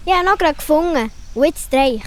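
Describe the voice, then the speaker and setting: A woman's voice: high voice, lively intonation, a little fast. A woman playing a caring young female forest animal in a children's radio play, with a Swiss German accent and a simple, motherly way of explaining. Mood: caring, brisk.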